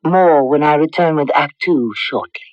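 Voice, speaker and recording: A man hosting and narrating a radio drama in a formal, deliberate, scripted style. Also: a little high. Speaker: host and narrator. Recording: muffled old radio broadcast.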